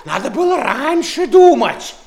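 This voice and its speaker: An actor playing a blustery, bossy seal with a fussy manner in a children's audio play. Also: male voice, extremely high pitch, very animated, unhurried, vocal fry, a little mellow, heavily acted. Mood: bossy, scolding. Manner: blustering, fussy, stammering when flustered. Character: blustery bossy seal, turning sheepishly polite. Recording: noisy vintage studio recording.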